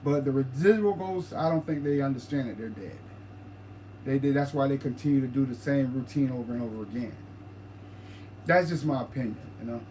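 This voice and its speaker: A man co-hosting a paranormal livestream, talking casually, halting and repeating words as he explains his ideas. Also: mid-range voice, mellow, slight background noise, rough recording.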